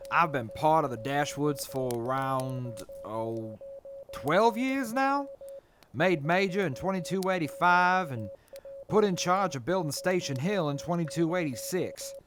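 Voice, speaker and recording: An actor playing a proud, earnest mercenary major in a post-apocalyptic audio drama, reflective and a little hesitant. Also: male voice, a little high, expressive, a little slow, over music. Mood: reminiscing.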